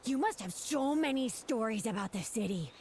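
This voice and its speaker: A woman's voice: fairly high pitch, expressive, normal speed, a little mellow, a little hiss or hum, close to an everyday voice. An actress playing a gushing, friendly witch in a cozy village video game. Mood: gushing, delighted.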